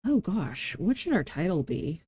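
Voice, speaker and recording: Slow, mellow-voiced woman hosting a casual tutorial. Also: fairly low pitch, sing-song, slightly rough, no echo. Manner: playful, self-deprecating asides; informal thinking aloud while working. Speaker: tutorial host. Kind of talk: casual screen-recorded software tutorial narration.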